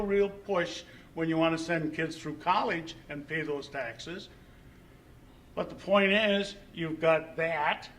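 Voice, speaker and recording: A man with a fairly high voice addresses a public meeting as a concerned resident. He is emphatic and colloquial, leaning on repeated phrases and rhetorical questions. Faint noise sits behind him in the room. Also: fairly bright tone. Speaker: resident speaking at public comment. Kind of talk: impassioned public comment at a school board meeting. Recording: noisy meeting room recording.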